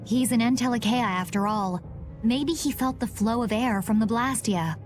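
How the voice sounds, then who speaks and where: Woman playing a composed, high-voiced adventurer. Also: music in the background, natural voice. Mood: reassuring. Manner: calm, measured. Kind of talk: video game voice acting.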